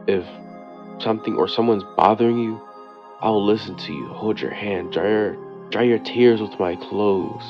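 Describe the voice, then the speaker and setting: A man playing a devoted boyfriend who leaves an intimate late-night voicemail, speaking earnestly and tenderly with hesitant pauses. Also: on the low side, creaky, muffled recording. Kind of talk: intimate boyfriend audio roleplay, slow monologue. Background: soft new-age music.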